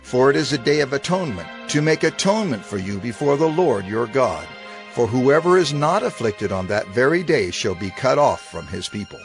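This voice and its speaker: Expressive male scripture narrator over music. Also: mid-range voice, moderate pace. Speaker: scripture reader.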